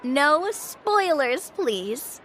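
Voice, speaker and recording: A woman's voice: high-pitched, big pitch swings, extremely slow, fairly bright tone, slight background noise, heavily acted. A woman voicing a playful, enthusiastic young woman in a video game, with a theatrical, exclamatory manner. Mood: excited. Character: bubbly, warm young woman, playful enthusiastic club leader. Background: game music.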